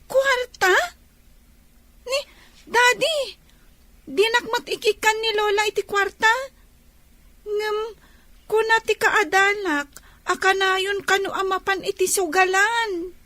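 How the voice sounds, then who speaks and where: A woman's voice: very high-pitched, fairly even intonation, takes it slowly, long pauses, sharp tone, no room sound, heavily acted. A woman playing a sweet, innocent little child in a radio drama, with an Ilocano accent. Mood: innocent, questioning.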